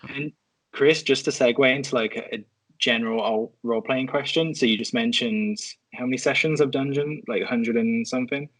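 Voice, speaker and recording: Middle-pitched man in podcast chat. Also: fairly quick, fairly bright tone, poor audio. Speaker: podcast panelist.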